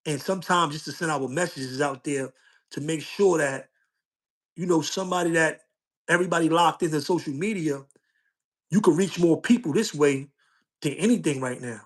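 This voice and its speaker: Middle-aged male streamer with a slightly higher voice, loose street slang, and a repetitive, testifying delivery. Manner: street slang, loops back with "you know what I'm saying". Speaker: social media streamer. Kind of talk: casual livestream talk.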